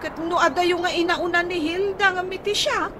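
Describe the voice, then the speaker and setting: A woman's voice: extremely high pitch, fairly even intonation, moderate pace. A woman playing an eager, star-struck, defensive younger woman in a comedy radio drama, gushing and pleading. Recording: radio drama with clear background noise.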